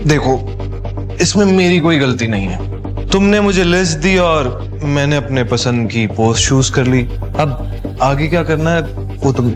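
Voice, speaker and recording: A man's voice: fairly high pitch, unhurried, music in the background, lightly acted. An actor playing a self-assured, stubborn young man in an audio drama, speaking bluntly and insistently.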